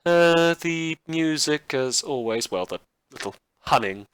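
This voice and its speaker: A man's voice: a little high, expressive, a little slow, fairly bright tone, clean tone, dry recording. A man with a campy manner chatting informally and a little hesitantly to listeners as a podcast host. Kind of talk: informal outro chat to listeners.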